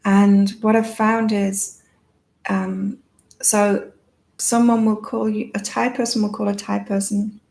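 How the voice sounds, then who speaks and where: A woman with a calming, soothing voice and a gravelly fry at the edges of her words. She hosts a live psychic card-reading chat, musing in a rambling, reflective way full of fillers, at a middle pitch. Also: slow pace. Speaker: psychic reader and live-stream host. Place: in Thailand.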